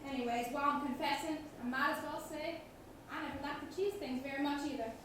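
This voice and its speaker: Stage farce rector, female player: bright, anxious, apologetic, halting; roomy distant recording with slight noise. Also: sits high, steady tone. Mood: hesitant confessing. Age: young adult. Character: flustered, guilt-ridden male rector confessing, mournfully earnest. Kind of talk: comedic student stage acting. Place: theatre stage. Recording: echoey stage, distant mic, faint noise.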